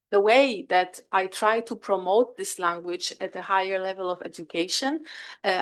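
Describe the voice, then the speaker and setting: A female researcher with a Polish accent delivers an academic presentation in English, which is not her native language. Her voice sits at an average pitch for a woman. She proceeds hesitantly, often pausing and rewording herself. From Northeast Poland. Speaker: academic researcher, guest speaker.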